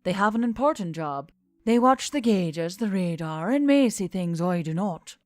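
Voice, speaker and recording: A woman voicing a quiet, soft-spoken female gorgon pilot who talks in measured, formal phrases in an audiobook. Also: medium pitch, expressive, dry recording, studio quality, soft background music, natural voice. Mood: calm, gentle.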